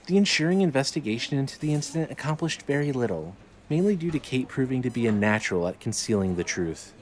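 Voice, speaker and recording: A man's voice: mid-range voice, big pitch swings, fast talker. A man narrating scripted fiction in a dramatic storytelling style. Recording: light noise, ambience behind.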